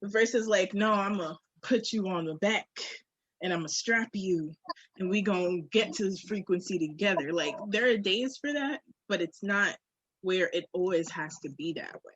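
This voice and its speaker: A woman's voice: mid-range voice, moderate pace, slightly rough, no echo, muffled recording. A woman talking candidly on a podcast in an emphatic, slangy way, with swearing.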